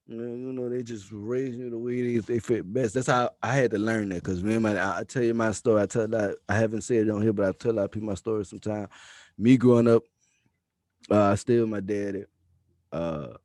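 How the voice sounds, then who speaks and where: A man's voice: fairly low pitch, dark tone, no room sound, clean studio sound. A man in his thirties hosting a podcast interview, talking in a laid-back, slangy way and giving advice like he's preaching. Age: 30s. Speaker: podcast host. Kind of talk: casual interview chat.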